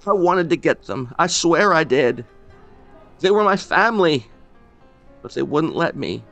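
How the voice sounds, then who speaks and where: A man voicing a grieving older rural man who talks in halting, choked bursts and breaks off mid-sentence. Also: mid-range voice, very animated, a bit bright, soft background music, pitched above the performer's own voice. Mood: grief-stricken.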